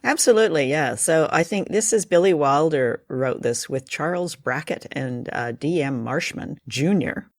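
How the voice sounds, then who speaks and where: A man with a fairly high, very creaky voice hosts a relaxed conversational discussion. He talks appreciatively and keenly, in a close, dry sound. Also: moderate pace, hardly any pauses, no echo. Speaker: podcast host leading discussion. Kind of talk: conversational film and radio discussion.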